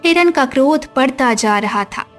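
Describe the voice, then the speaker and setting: A woman's voice: sits high, expressive, normal speed, fairly bright tone, soft background music. A woman narrating a scripted moral story for a cartoon, as a storyteller.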